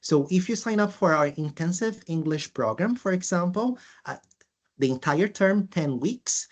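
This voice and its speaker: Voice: medium pitch, lively intonation. A presenter from Brazil with a Brazilian accent, walking through course details in a webinar, speaking with frequent uhs and restarts. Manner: halting, many filler uhs and restarts. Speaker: language school presenter.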